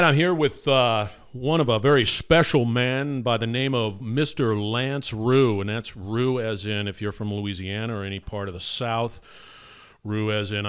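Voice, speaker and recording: A man hosting an industrial podcast, introducing a guest in a big, energetic voice full of fillers and restarts. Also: on the low side, smooth, no room sound, studio quality. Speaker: podcast host. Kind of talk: casual podcast intro and interview.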